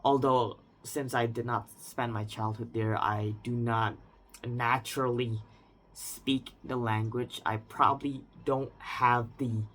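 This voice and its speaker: Man, 20s, Indonesian accent, reflective unscripted monologue, hedging restarts, middle pitch. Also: fairly even intonation, slow pace, a bit bright. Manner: reflective, with frequent restarts and hedges like "I would say". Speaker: vlogger.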